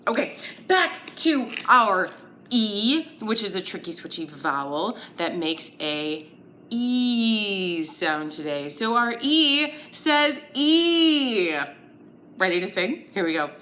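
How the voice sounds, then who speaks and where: A woman's voice: on the high side, lively intonation, slow, pushed and bright. A woman hosting a children's storytime lesson, speaking in simple short phrases and questions with a playful, exaggerated singsong delivery. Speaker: children's storytime host and teacher. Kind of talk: children's lesson, interactive and instructional.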